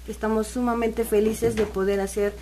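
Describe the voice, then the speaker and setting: A woman's voice: a little high, flat delivery, moderate pace. Woman guest, eager organizer, chatty with fillers. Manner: enthusiastic, warm-hearted, fillers like "eh, pues". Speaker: festival organizer. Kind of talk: casual radio interview.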